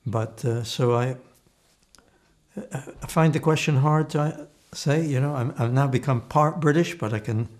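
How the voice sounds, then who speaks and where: Measured elderly man in his eighties. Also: medium pitch. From Slovakia. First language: Slovak. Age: 80s. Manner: measured, thoughtful, with frequent restarts and hesitations. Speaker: interviewee. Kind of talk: oral history testimony.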